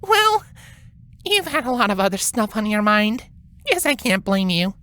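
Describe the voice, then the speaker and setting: A woman voicing a caring, composed young female winged pony with a direct, gentle manner, in a fan fiction reading. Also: medium pitch, big pitch swings, moderate pace. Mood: amused, gentle.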